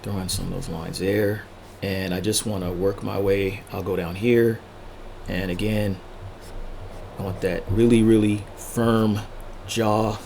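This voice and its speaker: A man casually narrating a drawing tutorial as he sketches, thinking aloud in short unhurried fragments, his voice a little worn from talking. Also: fairly low pitch, level tone, gravelly, a little mellow, a little hiss or hum. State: losing his voice, throat-clearing. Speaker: art tutorial host, illustrator.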